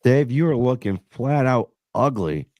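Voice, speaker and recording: A man hosting a podcast in brash, profane banter, his voice hoarse and raspy after being sick. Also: mid-range voice, expressive, measured pace, a little mellow, no room sound. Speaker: podcast host. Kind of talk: casual crude banter.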